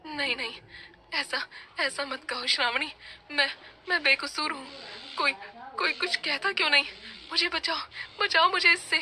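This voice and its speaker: A woman's voice: high voice, fairly even intonation, unhurried, bright, forceful tone, slight background noise, pitched above the performer's own voice, heavily acted. A woman voicing a frightened older village man in a ghost story, stammering and pleading his innocence over music. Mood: terrified pleading.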